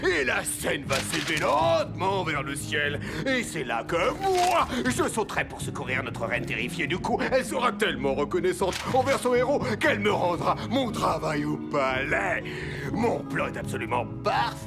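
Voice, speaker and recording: A man's voice: sits high, big pitch swings, moderate pace, vocal fry, heavily acted. A man voicing a boastful, theatrical male crocodile schemer in a cartoon. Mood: scheming. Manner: boastful, theatrical and self-pitying. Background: cartoon music.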